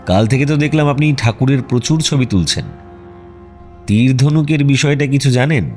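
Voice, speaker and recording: A man playing a self-assured, wry detective in a radio drama, polite and probing with deadpan teasing. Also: mid-range voice, moderate pace, soft background music, close to an everyday voice. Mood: mock-serious warning.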